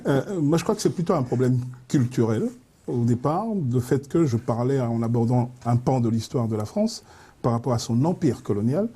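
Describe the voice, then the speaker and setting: A man speaking as a studio debate guest. His voice is very dark and husky, and he builds long, winding arguments with frequent pauses. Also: medium pitch, expressive. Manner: measured, long winding sentences with hesitations.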